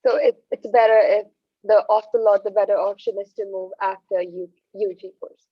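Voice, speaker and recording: A woman's voice: mid-range voice, fairly even intonation, normal speed, breathy edge, no echo. A woman moderating an online panel, handing questions to doctors and affirming briefly. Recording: dull dry online call audio.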